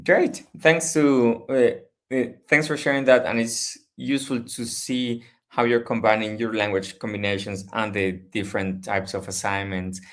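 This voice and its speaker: A man's voice: medium pitch, steady tone, a little slow, hardly any pauses, fairly bright tone. A man moderating a student panel, often repeating words as he frames his questions. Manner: stumbling, repeats words while framing questions. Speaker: interviewer and moderator.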